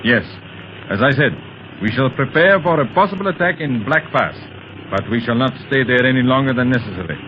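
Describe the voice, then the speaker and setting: An actor playing a cold, scheming villain who leads a gang, speaking slowly and deliberately, in a 1930s radio drama. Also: male voice, mid-range voice, expressive, close to an everyday voice. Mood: menacing. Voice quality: slow, deliberate menacing delivery. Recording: muffled vintage radio recording with hiss.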